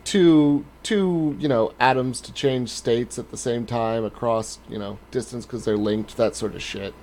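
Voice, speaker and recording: A man's voice: medium pitch, unhurried, darkish tone, a little hiss or hum. A man co-hosting a podcast in casual chat, talking in an informal, blunt way. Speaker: podcast co-host.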